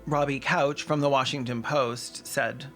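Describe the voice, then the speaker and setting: A man's voice: mid-range voice, steady tone, a little slow, a bit bright, soft background music. A man delivering scripted commentary in a sardonic way, with dry asides and crude humor. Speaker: video essayist and host.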